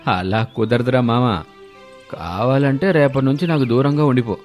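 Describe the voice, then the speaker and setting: A man's voice: mid-range voice, normal speed, a little mellow, faint music behind, natural voice. A man voicing a pushy, chummy drinking buddy in a moral story, slangy and cajoling. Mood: insistent. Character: pushy, chummy male drinking buddy, coaxing his friend. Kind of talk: Telugu moral story dialogue.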